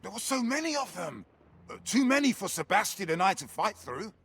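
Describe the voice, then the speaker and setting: Rescued fighter, male. High, slightly rough voice, anxious, game dialogue. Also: very animated, a little fast, a bit bright, full character voice. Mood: anxious, amazed. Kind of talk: video game dialogue acting.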